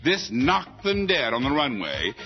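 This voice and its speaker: An actor playing a flamboyant, catty space fashion reporter with theatrical, arch, drawn-out emphasis. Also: male voice, medium pitch, wildly swooping pitch. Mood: arch, effusive. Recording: slightly dull, music behind.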